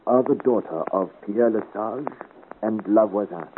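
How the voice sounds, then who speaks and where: An actor playing an earnest, gentle adventurer hero in a 1950s radio serial, speaking in a solemn, formal and careful way. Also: male voice, fairly low pitch, a little slow, lightly acted. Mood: gentle, probing. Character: earnest, gentle young adventurer hero of 17th-century France. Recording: scratchy, noisy, tinny 1950s radio recording.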